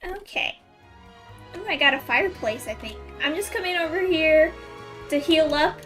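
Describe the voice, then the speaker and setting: High, bright-voiced woman game streamer. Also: expressive, moderate pace. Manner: informal, off-the-cuff reactions. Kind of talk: casual playthrough commentary. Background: faint game music.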